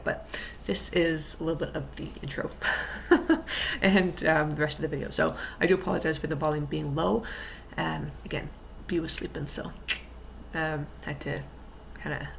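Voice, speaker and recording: Soft-spoken woman with a gravelly voice. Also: sits low, a little hiss or hum. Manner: rambling, many ums and restarts; speaking quietly so as not to wake someone. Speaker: vlogger and fitness coach. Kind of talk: casual vlog chat.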